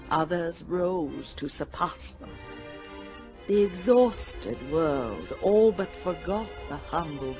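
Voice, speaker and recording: A man narrating an audio drama as a solemn, measured storyteller of legend. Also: fairly high pitch.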